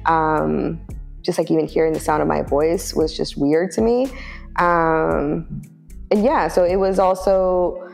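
A woman's voice: low-pitched, unhurried, vocal fry, darkish tone, big-room sound, faint music behind. A woman from Oakland, California, talking as a podcast guest in a reflective way, with many fillers and restarts and easy laughs. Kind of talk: casual podcast conversation.

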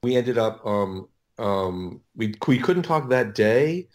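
Halting, low-voiced middle-aged American man. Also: expressive. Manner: halting, rambling, self-correcting. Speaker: audiobook producer, podcast guest. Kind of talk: casual interview storytelling.

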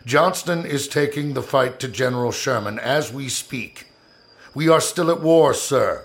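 An American actor playing a formal, authoritative, stern Secretary of War in an 1865 historical audio drama. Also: male voice, mid-range voice, a little slow, creaky. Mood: urgent, forceful.

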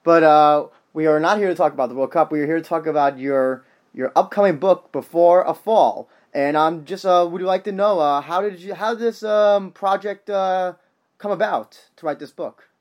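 A man with an American accent hosting an interview podcast in a halting way, often repeating words. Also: fairly high pitch. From United States. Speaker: podcast host.

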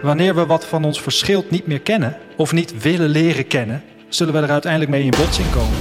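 A man playing a restless, wistful traveller, narrating his story in a reflective, measured literary audio drama style. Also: a little high, close to an everyday voice. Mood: earnest, reflective. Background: music.

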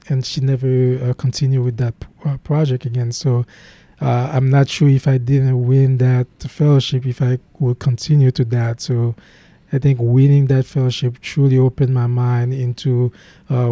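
A young man with a Haitian accent tells his story in an interview, earnest and halting, his sentences full of restarts and fillers. His voice is very dark and mellow, with a fairly flat melody. The sound is dry and close. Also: medium pitch, creaky, dry recording. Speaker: guest, program founder.

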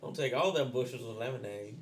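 A man's voice: medium pitch, background noise. A man chatting casually with a friend while they watch a movie, giggling and riffing on its quotes.

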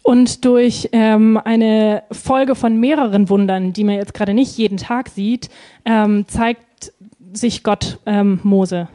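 A woman's voice: medium pitch, fairly even intonation, slow, dark tone. A woman interpreting a sermon live, phrase by phrase, hesitating and feeling for words with frequent ums.

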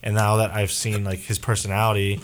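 A man with a fairly low voice leads a relaxed sports conversation, wandering from point to point and often trailing off into filler words before finding his thought again. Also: fairly quick, slight background noise. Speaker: podcast host. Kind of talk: casual sports chat.

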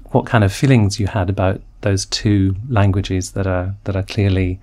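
A man's voice: deep voice, steady tone, moderate pace, a little mellow. A man hosting an interview who reads a scripted introduction, then asks thoughtful questions, stumbling and repeating words as he asks them. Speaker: interviewer host.

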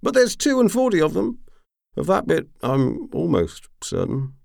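A man's voice: a little high, sing-song, moderate pace, vocal fry, darkish tone, no room sound, studio quality, pitched above the performer's own voice, exaggerated voice. A man voicing a tipsy, roguish mercenary commander in an audiobook, drawling and rambling with boastful charm. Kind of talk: audiobook character acting.

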